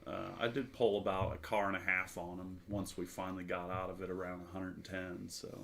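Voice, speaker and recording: A man's voice: low-pitched, flat delivery, a little fast, creaky, a bit bright. A middle-aged man telling anecdotes in a casual podcast chat. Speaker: podcast panelist.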